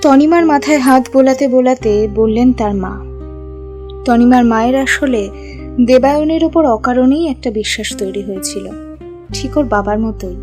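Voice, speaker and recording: Lady narrating a love story, bit high pitched, soft music behind. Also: moderate pace.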